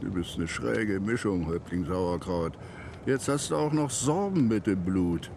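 Cocky, lively-pitched gunslinger. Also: male voice, measured pace, vocal fry, full character voice. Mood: teasing, dismissive. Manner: chatty, questioning, flippant. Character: cocky, prejudiced frontier gunman, nosy and teasing. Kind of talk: German radio drama acting. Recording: faint outdoor noise behind.

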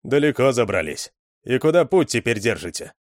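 A man voicing a tough, commanding middle-aged male gang leader who gives gruff orders. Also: mid-range voice, sing-song, moderate pace, no echo, clean studio sound, close to an everyday voice.

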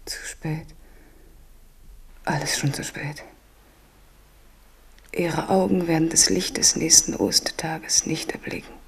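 A woman's voice: sits low, fairly even intonation, slow, long pauses, whispered, full character voice. An actress in a German radio drama playing a king's doomed mistress, fearful, anguished and tenderly devoted. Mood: haunted dread. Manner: breathy, anguished, foreboding.

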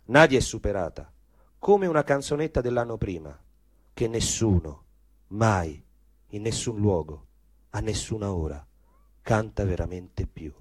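A man narrating literary prose as a stage actor, with dramatic, deliberate phrasing. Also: fairly low pitch, lively intonation, no room sound.

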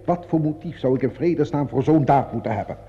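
A man's voice: a little high, fast talker, natural voice. A man acting a hot-tempered, haughty murder suspect in a radio play, blustering and emphatic with outrage. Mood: desperate denial. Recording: muffled, echoing old radio play recording.

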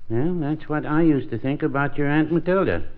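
A man's voice: a little high, fairly quick, close to an everyday voice. An older man acting a wisecracking father, a former vaudevillian, in a 1950s radio comedy. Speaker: supporting comic actor. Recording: muffled, noisy 1950s radio broadcast. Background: studio audience.

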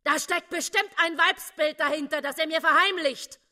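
A woman's voice: sits high, steady tone, normal speed, pushed and bright. An actress playing a jealous, self-pitying woman's inner voice in a radio drama, fretful and insinuating. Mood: jealous, aggrieved.

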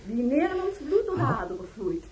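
A woman voicing her snobbish, gossipy Dutch sister-in-law in a shrill, mocking imitation, in a radio play. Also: sing-song, a little fast, background noise.

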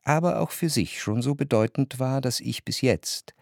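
A man playing a refined, observant first-person narrator in a radio play, reciting literary prose with measured, deliberate phrasing. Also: mid-range voice, gravelly, darkish tone, dry recording, studio quality, lightly acted. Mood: reflective, observant.

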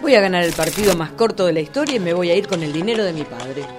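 An actress playing a furious, determined daughter with an Argentine accent, confrontational and sharp-tongued in a comic radio play. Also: female voice, fairly low pitch, expressive, fast talker, close to an everyday voice. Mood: scornful, confident. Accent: Argentine, Rioplatense. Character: furious, determined, scornful daughter of a cheated gambler. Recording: music under the dialogue.

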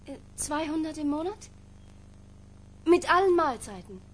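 A woman's voice: sits high, animated, measured pace. An actress playing an eager, affected middle-aged landlady in a radio drama, with a gushing, sing-song manner. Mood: eager, coaxing.

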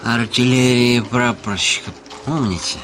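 An actor playing a meek, sly, obsequious widower with quiet spite and hesitant politeness in a radio drama. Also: male voice, mid-range voice, steady tone, measured pace, over music, lightly acted. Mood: bitter, taunting. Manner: hesitant, ingratiating, stammering politeness. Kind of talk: Russian radio drama acting.

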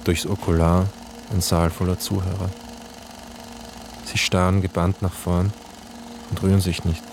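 A man's voice: low-pitched, flat delivery, measured pace, creaky, background noise. An actor playing a troubled cameraman in a radio drama, with a brooding, reflective voice. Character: troubled male cameraman, inner voice, uneasy.

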